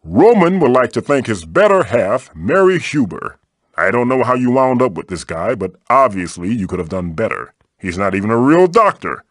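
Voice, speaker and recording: A man's voice: mid-range voice, big pitch swings, moderate pace, no echo, studio quality. A man voicing a playful, theatrical magical wooden mask spirit for a comic video game, with a wry manner. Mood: snide.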